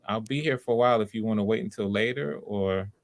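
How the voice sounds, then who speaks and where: A man's voice: on the low side, quick pace. A man hosting online auditions in a casual, encouraging way, often repeating himself. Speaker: audition host. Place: live video stream.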